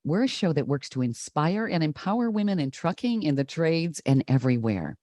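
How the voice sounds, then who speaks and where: Low, mellow-voiced woman podcast host. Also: sing-song, fairly quick, dry recording, studio quality. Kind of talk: scripted show intro and interview.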